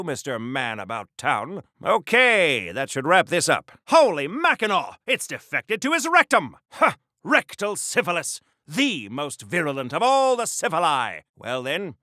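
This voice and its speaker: An old-fashioned video game superhero, earnest and a little awkward. His voice sits fairly high and swings widely in pitch, with a bright, forceful ring. He chatters primly in quaint mid-century exclamations, recorded close and dry. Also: big pitch swings, moderate pace, no echo. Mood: preachy, then alarmed, earnest, then focused, chipper. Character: earnest, awkward, prudish old-time golden age superhero.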